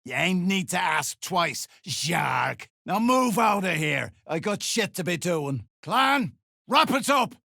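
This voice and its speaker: Gravelly, surly dwarf clansman. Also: sits high, very animated, slow pace, bright, forceful tone, dry recording, studio quality, exaggerated voice. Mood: barking, urgent. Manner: coarse, curt, slangy. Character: surly, hard-bitten duergar dwarf clansman, greedy and threatening. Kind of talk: video game character lines.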